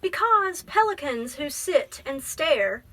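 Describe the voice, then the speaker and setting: Bright high-voiced woman reading to children. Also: sing-song, slow. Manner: explains simply, prompts with questions. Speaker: library teacher. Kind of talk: children's book read-aloud and teaching.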